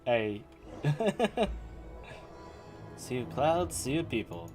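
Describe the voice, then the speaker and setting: A woman streamer casually reacting to a game, talking in a halting way. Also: rumbling low, very animated, darkish tone, faint music behind. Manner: halting, searching for words. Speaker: streamer playing a story game. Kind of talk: casual live gameplay reaction.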